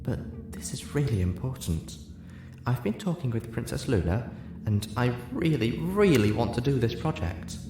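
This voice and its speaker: Man as a shy girl pegasus, soft, breathy, hesitant, gentle, storybook character. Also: mid-range voice, lively intonation, moderate pace, reverberant, noisy background, voice pushed up, close to an everyday voice. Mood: gentle pleading. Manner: hesitant, timid. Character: shy, gentle, earnest female pegasus pony.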